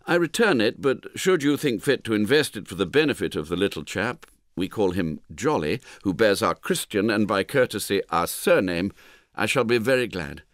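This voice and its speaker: A man's voice: on the low side, animated, normal speed, no echo, studio quality, natural voice. A man voicing a courteous, affectionate middle-aged son reading out his formal letter in an audiobook. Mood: sincere, courteous. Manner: amiable, courteous. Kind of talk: audiobook character voice.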